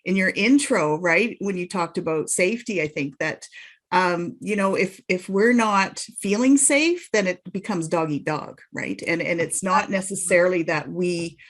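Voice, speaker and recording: A woman guest on a podcast telling her own story, earnest and passionate, often restarting her sentences. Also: on the low side. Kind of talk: personal storytelling in an interview.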